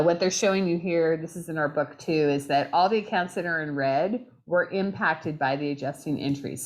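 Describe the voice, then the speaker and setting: Woman lecturing while losing her voice. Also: low voice. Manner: conversational, checks in with "right?" and "okay?". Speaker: accounting instructor. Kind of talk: recorded lecture.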